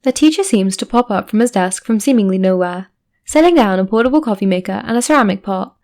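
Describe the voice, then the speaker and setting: A woman's voice: mid-range voice, expressive, fast talker, darkish tone. A woman reading fan fiction aloud as a scripted story narration. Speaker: fan fiction podfic reader.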